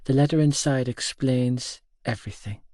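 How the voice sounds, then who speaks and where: A man voicing a frail, tender but firm old great-grandmother in an audiobook, speaking softly and affectionately. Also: mid-range voice, no echo, studio quality, lightly acted. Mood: confiding, gently firm. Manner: hushed, slow, affectionate. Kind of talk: audiobook character dialogue.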